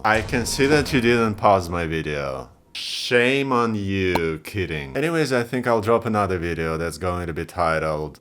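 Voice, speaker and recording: A man with a Russian accent doing chatty, self-mocking vlog commentary, talking straight to his viewers. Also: lowish voice. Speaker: YouTube creator.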